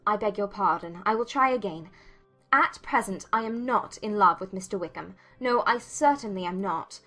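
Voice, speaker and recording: A woman playing a witty, spirited young gentlewoman in an audiobook drama, expressive and articulate, teasing yet sincere. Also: mid-range voice, moderate pace, soft background music. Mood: playful.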